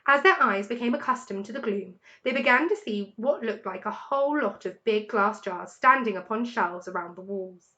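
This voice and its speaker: A woman narrating a children's story in an expressive, dramatic storytelling manner. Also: medium pitch, moderate pace, hardly any pauses, highs cut off. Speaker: story reader.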